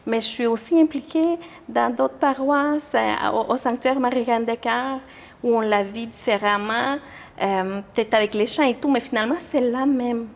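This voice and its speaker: Young woman, kinda high voice, informal interview answers, hesitates searching for words. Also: moderate pace. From Mexico. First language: Spanish. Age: young adult. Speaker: interview guest, church youth group leader.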